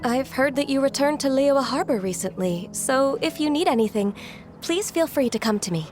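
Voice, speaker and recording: A woman voicing a shy, gentle young female secretary in a game, soft-spoken and a little hesitant. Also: sits high, expressive, normal speed. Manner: soft-spoken, stammers when nervous. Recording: game music behind.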